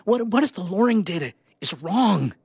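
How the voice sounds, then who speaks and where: A man's voice: high-pitched, expressive, moderate pace, vocal fry, darkish tone, husky, dry recording, clean studio sound, voice pushed up, full character voice. A man voicing an anxious, hesitant scientist wife pleading in a dramatic short story.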